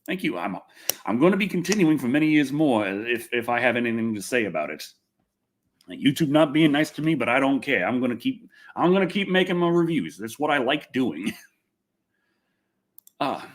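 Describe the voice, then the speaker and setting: A man with a husky voice chats casually as a livestream host. His pitch jumps around a great deal as he rambles excitably, repeating phrases and swearing freely. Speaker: livestream host and film reviewer.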